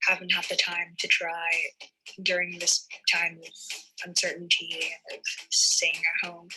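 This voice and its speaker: A woman's voice: on the low side, pushed and bright. A young woman giving a casual personal testimonial at home on a faintly noisy video. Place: couch at home.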